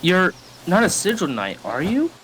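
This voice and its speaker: A man voicing an earnest, blunt young squire boy in an animated fan dub. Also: sits high, moderate pace, a little hiss or hum, heavily acted, rough recording. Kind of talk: fan dub character acting. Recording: loud music under the voice.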